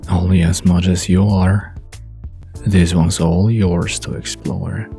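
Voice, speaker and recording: A narrator acting a smirking young man in a romantic audio drama, playful and conspiratorial. His smooth delivery is low-pitched and slow, with a gravelly creak. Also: level tone, warm tone, faint music behind, full character voice. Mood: amused, teasing.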